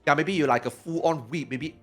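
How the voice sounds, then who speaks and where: A man in his forties rattles along at a quick clip in a slightly higher voice, repeating words as he goes. He chats casually about gaming with friends, with faint music underneath. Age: 40s. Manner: rapid, excitable, repeats himself. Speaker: streamer. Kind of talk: casual podcast chat.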